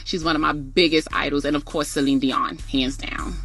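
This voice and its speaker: A woman's voice: sits low, steady tone, normal speed, a bit bright, soft background music. A young woman from the Bronx, a singer answering interview questions in an upbeat way. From Bronx, New York. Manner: upbeat, laughing easily. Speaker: singer, guest. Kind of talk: radio interview. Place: radio studio.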